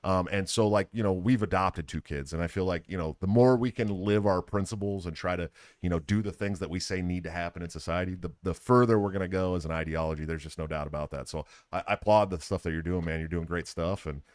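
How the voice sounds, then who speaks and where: A deep-voiced American man hosts a casual interview. He talks in an earnest, informal way, and the sound is dry, with little room in it. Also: quick pace, no echo. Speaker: podcast host. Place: live at a political convention.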